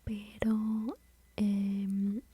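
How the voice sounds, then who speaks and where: A woman with a Mexican accent whispering softly as she tells a personal story about motherhood, in a confiding, rambling way. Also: mid-range voice, completely flat delivery, very slow, husky. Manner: soft, confiding, rambling with fillers.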